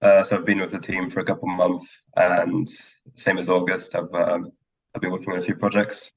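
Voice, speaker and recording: A man's voice: low voice, brisk, gravelly. A young man in his twenties, a student developer, introducing himself hesitantly on a video call. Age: 20s. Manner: flat, hesitant. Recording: dull lo-fi video call audio.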